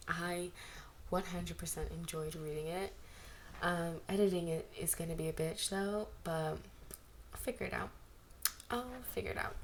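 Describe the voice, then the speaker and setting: A woman with a slightly low voice narrates fan fiction and chats in an informal, slangy, gushing way. It is an amateur home recording with faint background noise. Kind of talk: fan fiction reading and casual chat to listeners.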